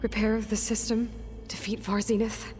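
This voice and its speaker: A young female warrior in a cinematic video game , acted by a woman, speaks. She is earnest and guarded, with a medium-pitched voice. Music plays clearly behind her. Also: little pitch movement, normal speed, darkish tone, close to an everyday voice. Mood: burdened, unsure. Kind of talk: naturalistic video game acting.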